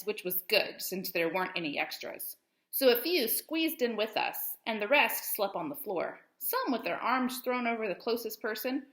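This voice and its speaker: High-pitched, lively woman reading a storybook. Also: reverberant. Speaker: teacher reading aloud to pupils. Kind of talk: storybook read-aloud for children.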